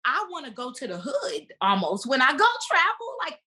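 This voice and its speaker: A woman with a fairly high, bright and forceful voice talks casually as an interview guest. She explains herself in an animated, emphatic way, like someone telling personal stories in conversation. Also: moderate pace, breathy edge.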